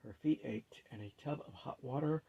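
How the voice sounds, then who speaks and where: A man's voice: mid-range voice, normal speed, creaky, dark tone, husky, lo-fi sound. A man reading a fantasy story aloud as scripted narration.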